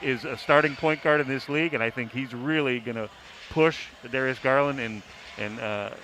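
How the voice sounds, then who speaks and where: Male sports writer as panelist, bright and punchy voice, halting emphatic phrasing, slight background noise. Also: medium pitch. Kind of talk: casual sports analysis.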